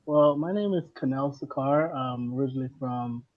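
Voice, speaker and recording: A man from the Bahamas, a guest on a live podcast, joining by video call and chatting in a relaxed, slangy way. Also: medium pitch, normal speed, phone-quality sound. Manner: laid-back, slangy, lots of "you know". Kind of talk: casual video-call chat.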